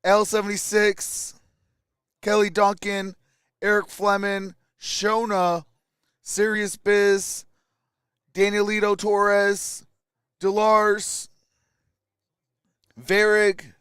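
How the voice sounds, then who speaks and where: Slow-talking American man with a clear voice. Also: high voice, monotone, fairly bright tone, dry recording, studio quality. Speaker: sports livestream host. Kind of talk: casual livestream chat to viewers.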